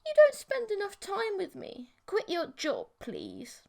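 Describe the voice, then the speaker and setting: A woman's voice: very high voice, huge pitch swings, a little slow, creaky, dark tone, pitched above the performer's own voice, full character voice. A woman voicing a coy, clingy, demanding insect-monster girlfriend in an audiobook, with a pouting, bossy manner. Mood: pouting, pleading.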